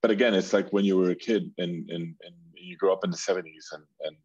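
Deep, husky-voiced man. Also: steady tone, fast, a little mellow, dry recording, highs cut off. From Colombia. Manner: rambling, many fillers and restarts. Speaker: furniture designer, guest. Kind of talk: casual interview conversation.

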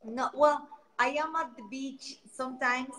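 A woman's voice: high voice, steady tone, a little slow, sharp tone. Woman with a Costa Rican Spanish accent. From Costa Rica. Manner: friendly, rambling, repeats words while searching for them. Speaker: guest, founder of a beach cleanup project. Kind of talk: casual interview answers.

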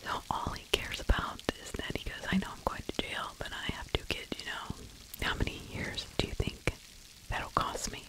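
A woman's voice: normal speed, poor audio. Whispering middle-aged woman, true crime ASMR. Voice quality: breathy, unvoiced whisper. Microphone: close microphone with furry windscreen.

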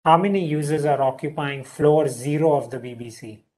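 A man's voice: on the high side, normal speed, darkish tone. Young man giving voice commands. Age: young adult. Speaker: product demonstrator. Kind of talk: spoken voice commands. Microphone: video call from a laptop.

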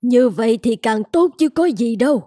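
A woman's voice: a little high, lively intonation, moderate pace, dark tone, no echo, studio quality. A woman playing an indulgent, stubborn old grandmother in a cartoon, doting and dismissive of advice. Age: older. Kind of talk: scripted cartoon dialogue.

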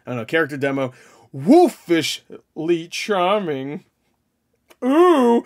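A man's voice: on the high side, big pitch swings, slow pace. A man in his thirties giving casual, profane reaction commentary in a joking, sarcastic, exclamatory way. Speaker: reaction video host. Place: small furnished room at home, indoors. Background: game trailer music and sound.

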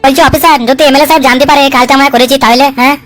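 A high-voiced, wily cartoon schemer acted by a woman who chatters at breakneck speed. He is cheeky, coarse and argumentative, always ready with an excuse, with light music behind. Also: fairly even intonation, very fast, a bit bright, no room sound. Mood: scheming. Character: wily, cheeky male sidekick and schemer, foul-mouthed. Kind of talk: comic cartoon dub dialogue.

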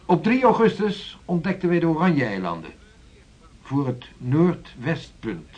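Measured seafaring man of long ago. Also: on the high side, wildly swooping pitch, a little mellow, breathy edge, highs cut off, full character voice. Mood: measured, reporting. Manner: formal, archaic phrasing, deliberate. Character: 16th-century Dutch navigator, a measured, patient seafarer reporting. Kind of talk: radio drama acting, storytelling report.

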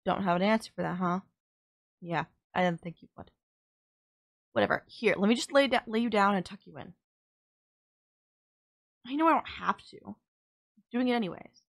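A woman's voice: medium pitch, moderate pace, gravelly, pauses often. A woman playing a sardonic villain nemesis in an audio roleplay, scolding and teasing the listener in an exasperated but caring way. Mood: scolding care.

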